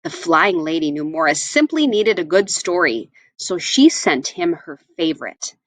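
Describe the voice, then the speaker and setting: A woman reading a picture book aloud to children, with expressive, deliberate phrasing. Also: lowish voice, dry recording. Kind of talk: read-aloud storytelling for children.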